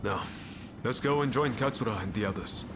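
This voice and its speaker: An actor playing a resolute, spirited young samurai rebel in a dramatic video game cutscene, speaking in an earnest, plain-spoken way. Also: male voice, fairly low pitch, fairly quick, close to an everyday voice. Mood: solemn, resolved. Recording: loud music bed. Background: dramatic music.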